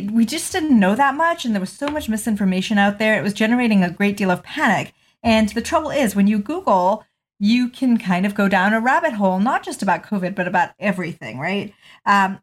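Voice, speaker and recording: Middle-aged female pediatrician from Malawi, mid-range voice, articulate interview answers with frequent ums. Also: mid-range voice. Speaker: pediatrician guest.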